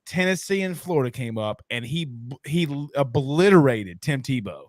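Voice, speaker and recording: A man hosting a sports talk show, chatting and asking questions in an informal, animated fan's way. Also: medium pitch, moderate pace, dry recording. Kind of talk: casual sports banter and questions.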